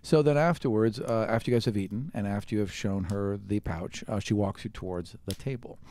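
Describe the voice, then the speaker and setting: Easygoing dm guy narrating on the fly, warm lowish voice, really dry recording. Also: a little fast, no room sound. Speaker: game master. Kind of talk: improvised tabletop game narration.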